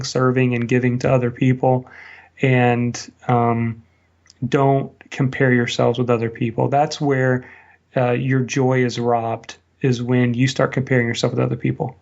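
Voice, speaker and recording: A man's voice: mid-range voice, a little mellow, highs cut off. A man answering as an interview guest, sharing reflective stories and advice in a conversational way. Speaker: interview guest, blogger and online business teacher.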